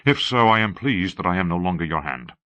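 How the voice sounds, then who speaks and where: A man's voice: deep voice, animated, a little fast, bright, forceful tone, no echo. A man voicing a stern, principled lord and counsellor in an audiobook, formal and direct. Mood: indignant. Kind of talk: audiobook character acting.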